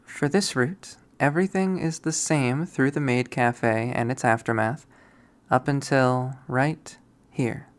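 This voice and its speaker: A narrator reading a visual novel aloud, quiet and measured, softly voicing the characters. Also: mid-range voice.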